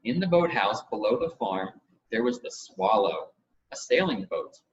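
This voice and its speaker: A man reading a children's story aloud as scripted audiobook narration, in an expressive, measured way. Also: on the low side, husky. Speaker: audiobook reader. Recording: dull audio with faint noise.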